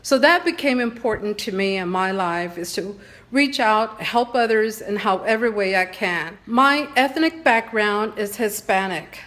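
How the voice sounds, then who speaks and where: A woman's voice: medium pitch, lively intonation, unhurried, a bit bright. Earnest woman from Texas presenting. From Texas, San Antonio. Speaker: presenter sharing family background. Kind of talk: prepared personal presentation.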